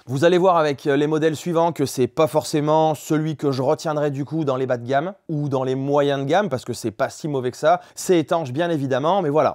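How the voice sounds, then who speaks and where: A man's voice: on the high side, a little mellow. A man giving a casual, frank product review in colloquial, chatty language, like a vlogger reviewing motorcycle gear.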